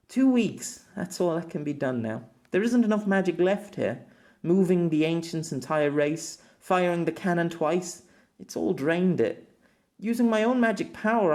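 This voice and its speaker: A man's voice: high voice, a little mellow. A man voicing a grieving empress who reads out a tender, solemn farewell letter.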